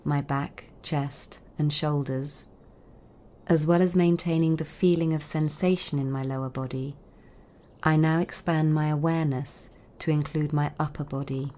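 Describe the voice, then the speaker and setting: Woman, low-pitched, mellow tone, calm meditation guide, soothing measured delivery, long pauses. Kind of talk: guided body scan meditation narration.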